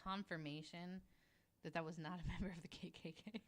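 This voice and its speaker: A woman's voice: fairly low pitch, fairly even intonation, a little fast. A woman co-hosting a podcast, chatting casually and breaking in with giggly, joking remarks. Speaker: podcast co-host. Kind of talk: casual bantering chat.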